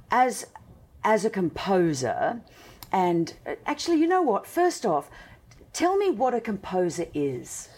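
Brief: Australian woman with an English tinge, very lively pitch, chatty interviewer and storyteller. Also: slightly rough. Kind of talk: interview chat.

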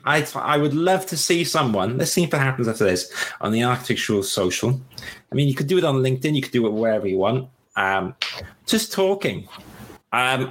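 A man hosting a webinar, giving casual, energetic job-search advice and often repeating himself. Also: medium pitch. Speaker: webinar host. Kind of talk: motivational talk.

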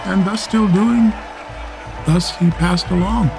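An actor playing a stern, proud elderly English duke in a Shakespeare radio play, declaiming archaic verse with a quick temper. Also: male voice, sits high, over music, a crowd in the background, low-quality recording. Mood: solemn, awed. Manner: declamatory, measured verse delivery.

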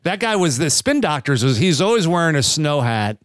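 A man hosting a podcast with a smooth, clear voice on a close, dry mic. He talks loosely, restarts his sentences, and swears casually. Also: fairly high pitch, sing-song, fairly quick, no echo. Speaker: host.

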